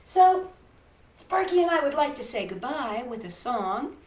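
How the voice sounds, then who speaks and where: A woman with a high voice hosts storytime for little children in a roomy, echoing space. She is playful and sing-song, inviting young listeners in with questions. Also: very animated, measured pace, darkish tone. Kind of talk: reading picture books aloud and chatting to young children. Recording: roomy echo.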